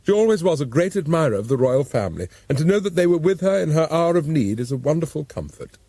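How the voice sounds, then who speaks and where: A man's voice: a little high, very animated, fast talker, mellow, dry recording. A man voicing a genteel, placid young pregnant woman, blithely matter-of-fact, in an audiobook. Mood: blithely consoled.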